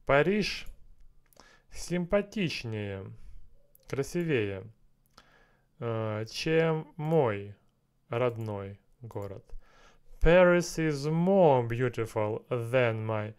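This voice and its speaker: A man in his thirties on a headset mic in a small room, working through language drills out loud, repeating and translating each phrase and muttering to himself. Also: mid-range voice, expressive, very slow, long pauses, clean tone. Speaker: language learner streaming a lesson. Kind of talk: thinking aloud while doing app exercises.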